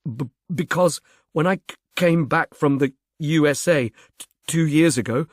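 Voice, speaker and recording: A man's voice: on the high side, unhurried, no echo, clean studio sound, pitched above the performer's own voice. A man voicing an eager, dim-witted grown son who stammers heavily and blunders on earnestly in an audiobook. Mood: anxious, helpful. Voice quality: heavy stammer.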